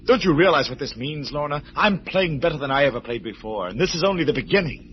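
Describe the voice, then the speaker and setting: An actor playing an unstable, obsessive concert pianist in old-time radio horror, with an intense, melodramatic manner. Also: male voice, fairly high pitch, lively intonation, fast, a little hiss or hum, lightly acted. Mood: fervent, possessive. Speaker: actor and first-person narrator. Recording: muffled vintage radio recording.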